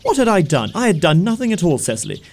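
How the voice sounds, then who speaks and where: A man playing a smitten young upper-class gentleman in an audio stage comedy, gushing and stammering as he pleads. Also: high-pitched, wildly swooping pitch, quick pace, creaky, a little hiss or hum, heavily acted. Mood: hurt, flustered.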